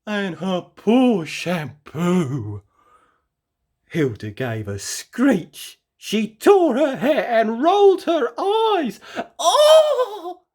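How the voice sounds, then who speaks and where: A woman reading a children's story aloud expressively, with dramatic emphasis and drawn-out words. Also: mid-range voice, big pitch swings, a little mellow. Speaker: storybook reader.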